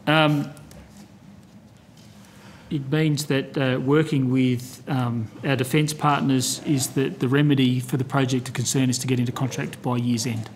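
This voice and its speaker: Man, formal witness, little pitch movement, deferential, hesitant, noisy background. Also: level tone, unhurried. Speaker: official answering at a hearing. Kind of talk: formal testimony. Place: committee hearing room.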